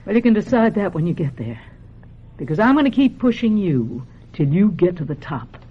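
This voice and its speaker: Husky, bitter American stage mother. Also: on the low side, big pitch swings, normal speed, dull audio. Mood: bitter, driven. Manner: domineering, nagging. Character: bitter, pushy ailing middle-aged working-class stage mother. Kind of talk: radio drama acting.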